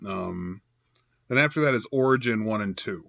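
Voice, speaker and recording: A man's voice: on the low side, expressive, moderate pace, gravelly, a bit bright, no echo, highs cut off. A man with a stuffed-up cold voice talking casually and rambling, full of fillers and self-corrections. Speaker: comic book reviewer. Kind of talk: casual improvised review.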